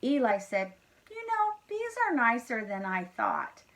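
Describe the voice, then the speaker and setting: A woman with a fairly high voice reads a picture book for young children. She is expressive and encouraging, speaking straight to her listeners in the manner of a teacher, with casual, chatty comments. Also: measured pace, vocal fry. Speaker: teacher reading a bedtime story to pupils. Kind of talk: animated children's read-aloud with casual chatty asides.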